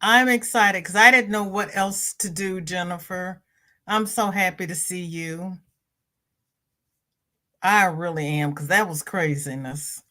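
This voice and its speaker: A woman hosting a crochet livestream, chatting casually and reading viewer comments. She sounds stuffy-nosed and greets viewers by name with high, bright lifts in her voice. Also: measured pace. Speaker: crochet channel host.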